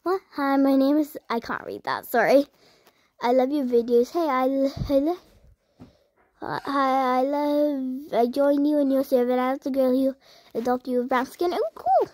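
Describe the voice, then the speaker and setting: A young girl hosting her own channel, chatting as she reads viewer comments aloud and answers them with sudden high squeals. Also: expressive, unhurried, a little mellow, no room sound. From United Kingdom. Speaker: channel host. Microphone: phone.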